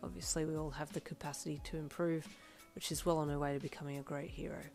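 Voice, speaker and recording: A woman voicing a dry, diplomatic, weary male teacher in an audio story, measured and understated. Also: low voice, little pitch movement, creaky, darkish tone, soft background music, pitched below the performer's own voice.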